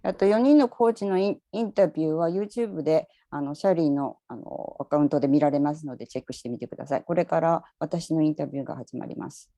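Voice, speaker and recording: A woman giving a quick announcement with fillers. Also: deep voice, warm tone. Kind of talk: brief spoken announcement.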